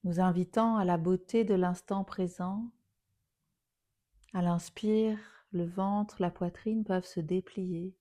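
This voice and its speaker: Slow, mellow woman guiding relaxation. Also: lowish voice, fairly even intonation. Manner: soothing, unhurried, phrases softly trailing into breathy near-whispers. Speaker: yoga nidra teacher. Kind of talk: guided relaxation meditation.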